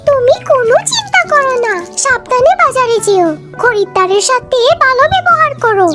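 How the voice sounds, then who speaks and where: A woman's voice: very high-pitched, animated, normal speed, loud music behind the voice. A woman voicing a caring, practical animal wife with a girlish voice in a cartoon. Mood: caring, advising. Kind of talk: cartoon character voice acting.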